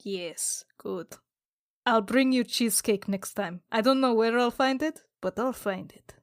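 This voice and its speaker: A woman's voice: fairly high pitch, measured pace, dry recording, studio quality. A woman playing a tabletop role-playing game, chatting informally and jokingly as she describes her character's actions. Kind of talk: casual improvised game chat.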